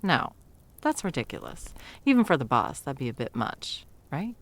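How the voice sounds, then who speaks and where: A woman playing a sarcastic, self-doubting, hesitant Christmas elf in an intimate ASMR audio roleplay, with a creaky voice. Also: extremely low pitch, big pitch swings, normal speed, full character voice. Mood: flustered, sarcastic.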